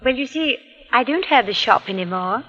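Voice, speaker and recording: A sweet elderly aunt from an old radio play, genteel and trusting. Her bright voice carries a long reverb on a muffled vintage recording. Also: fairly high pitch, lively intonation, moderate pace. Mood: coy. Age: older. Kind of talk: 1940s radio acting.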